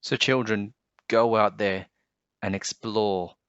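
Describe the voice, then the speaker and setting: A man reading aloud to children as a storyteller, with deliberate, expressive phrasing. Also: fairly low pitch, clear, resonant tone. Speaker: storybook reader, host. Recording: dull, roomy home recording.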